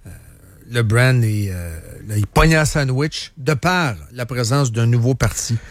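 A man's voice: mid-range voice, unhurried, no room sound. A man with a Quebec French accent hosting a casual, opinionated current affairs talk show in colloquial speech sprinkled with English, repeating phrases for emphasis. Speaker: radio host.

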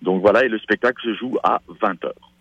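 A man's voice: fairly low pitch, fairly quick, vocal fry, fairly bright tone, slightly rough, no room sound. A man, a stage actor, chatting enthusiastically as a guest in a radio interview. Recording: dull lo-fi radio sound.

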